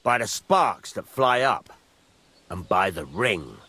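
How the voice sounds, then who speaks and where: An actor playing a gruff middle-aged village blacksmith in a video game, husky, blunt and rustic, giving plain instruction. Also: male voice, fairly low pitch, big pitch swings, slow pace, pushed and bright, exaggerated voice. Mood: patient, instructive. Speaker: master blacksmith. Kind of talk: video game character acting.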